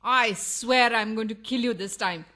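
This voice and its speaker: A woman's voice: a little high, unhurried, sharp tone. A woman playing a burglar's jealous, fiery wife in a farcical radio play, melodramatic and challenging. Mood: jealous fury.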